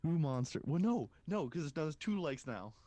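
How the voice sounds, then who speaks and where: A man's voice: fairly high pitch, sing-song, normal speed, mellow, no echo. A man in casual group chat, talking in a jokey, wisecracking way. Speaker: podcast and stream regular.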